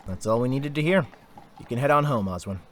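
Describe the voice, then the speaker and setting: A man acting the part of a steady, protective older brother in an audio drama, speaking in a measured, reassuring way. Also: mid-range voice, natural voice.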